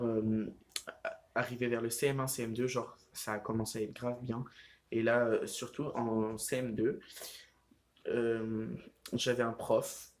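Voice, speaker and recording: A teenage boy chats casually into the camera at home. He rambles, stacking fillers and restarts as he goes. His voice sits at a middle pitch. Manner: rambling, heavy use of fillers like "genre" and "euh". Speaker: vlogger. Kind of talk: casual vlog chat.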